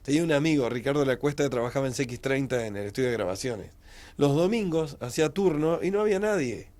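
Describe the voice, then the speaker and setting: A man's voice: mid-range voice, animated, quick pace, a little mellow. A man, a radio host answering interview questions in a small studio, fluent and matter-of-fact. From Uruguay. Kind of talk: interview answers, recounting career.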